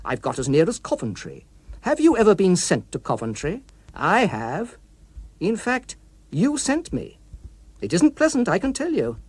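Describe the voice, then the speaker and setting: A man reading an audiobook, voicing an anonymous male postcard writer who is mock-friendly, arch and insinuating, with quiet menace. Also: high-pitched, wildly swooping pitch, unhurried, breathy edge, dry recording, voice pushed up, exaggerated voice. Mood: needling, menacing.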